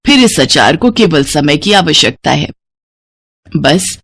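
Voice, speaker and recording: A woman's voice: sits low, a bit bright, husky, voice pushed down, full character voice. A woman voicing a loving elderly grandmother in a story, kind and patient as she instructs.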